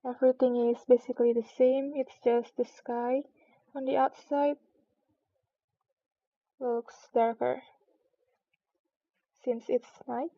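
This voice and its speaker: A woman's voice: sits high, flat delivery, slow, long pauses, dry recording. A woman streamer talking casually and reading a storybook aloud, in a slightly muffled recording.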